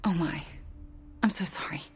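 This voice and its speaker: A woman playing a guilt-ridden, determined young sorceress in a video game cutscene, speaking softly and earnestly with breathy phrase endings. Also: normal speed, lightly acted. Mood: apologetic, regretful.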